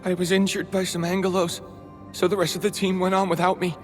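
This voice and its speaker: A man's voice: high voice, level tone, lightly acted. Shaken, guilt-ridden rescue man acted by a man. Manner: halting, anguished. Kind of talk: video game voice acting. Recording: faint music behind the voice.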